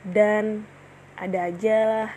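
A woman's voice: mid-range voice, fairly even intonation, slow pace, a little mellow, a little hiss or hum. A woman in her twenties hosting her own casual solo podcast, chatting in slang with many fillers and restarts. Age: 20s. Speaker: podcast host.

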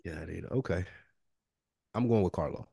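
A man's voice: low-pitched, normal speed, gravelly, warm tone, slightly rough, no room sound, clean studio sound. A man bantering casually as a podcast co-host in an informal, slangy, joking way.

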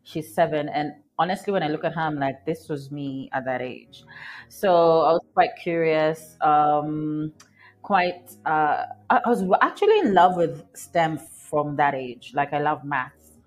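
A woman from Kenya, a scientist guest on an interview podcast, answering in a warm, rambling way with fillers and soft laughs. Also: deep voice, expressive, a little slow, soft background music.

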